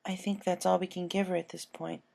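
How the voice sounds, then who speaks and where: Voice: medium pitch, little pitch movement, brisk, gravelly, a little mellow, voice pushed up. A performer voicing an adult female farm pony, stern but caring, with folksy country phrasing, in a cartoon fan story. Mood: concerned.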